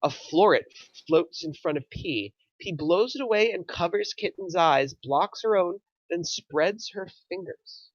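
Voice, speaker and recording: A narrator reads screenplay action lines at a middle pitch. The sound is close and dry, a little muffled, in a scripted table-read style. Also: lively intonation, measured pace, hardly any pauses, dry recording. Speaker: narrator reading stage directions.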